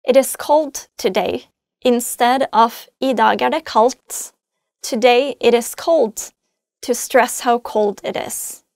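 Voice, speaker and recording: A woman from Norway presenting a scripted language lesson, speaking clearly and patiently as she spells out word forms. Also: on the high side, slow, darkish tone, no room sound, clean studio sound. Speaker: language teacher.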